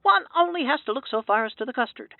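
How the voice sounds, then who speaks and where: A woman playing an earnest, wordy radio call-in listener with a creaky voice over a filtered phone line. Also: sits high, animated, fast talker, heavy background noise. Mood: gushing, earnest. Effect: telephone filter. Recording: muffled, dull telephone line.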